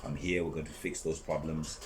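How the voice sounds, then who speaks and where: A man, born in Zambia, talking as the guest in a relaxed podcast interview, measured and reflective. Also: extremely low pitch, background noise. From born in Zambia, raised in South Africa. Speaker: guest, brand co-founder.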